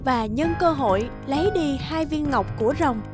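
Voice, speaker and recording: A woman giving calm scripted narration for a cartoon fairy tale. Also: high-pitched, normal speed, over music.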